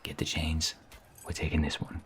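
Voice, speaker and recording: A man voicing an excitable, gruff soldier in a fantasy audio role-play, over background noise. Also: extremely low pitch, moderate pace. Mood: commanding.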